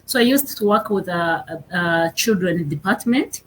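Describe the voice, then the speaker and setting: A young woman with a Rwandan accent, a guest on a casual interview, pausing often and searching for her words. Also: on the low side, animated, a little hiss or hum. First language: Kinyarwanda. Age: young adult. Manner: halting, searching for words with many fillers.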